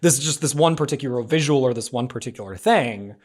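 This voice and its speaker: A man's voice: mid-range voice, lively intonation, a little fast, a little mellow. Male panelist, mid-range voice, casual, effusive, stammering start-and-stop delivery. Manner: effusive, stammering, repeats words. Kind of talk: casual script discussion.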